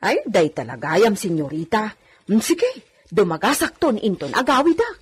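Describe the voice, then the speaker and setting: Actress as obliging village auntie, husky voice, very lively intonation, Ilocano accent, radio drama. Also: female voice, medium pitch, normal speed. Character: obliging older village woman deferring to a señorita.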